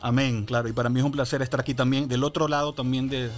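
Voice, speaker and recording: A man who is a pastor, interviewing guests on a church radio show in a chatty, warm way with many restarts. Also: mid-range voice, little pitch movement, fast talker, faint music behind.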